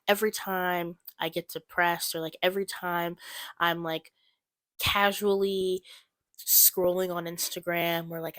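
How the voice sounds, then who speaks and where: A teenage girl hosts a solo podcast in a lowish, gravelly voice, her nose a little stuffy and her throat slightly sore. She rambles along in an earnest, encouraging way. The sound is close and dry. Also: a bit bright, dry recording. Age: teens. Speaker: podcast host. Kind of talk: solo casual podcast talk.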